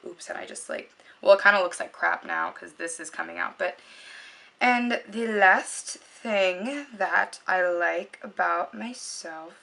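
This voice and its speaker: Chatty young woman with a low voice. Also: unhurried, gravelly, bright, forceful tone. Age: young adult. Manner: chatty, rambling with many likes and ums. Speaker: beauty vlogger. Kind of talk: casual chat to camera.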